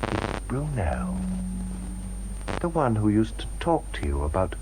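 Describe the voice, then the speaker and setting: An actor playing a grave, gently earnest former patient in a British radio drama, speaking haltingly with a British accent. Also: male voice, fairly low pitch, sing-song, low-quality recording. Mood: tender pleading.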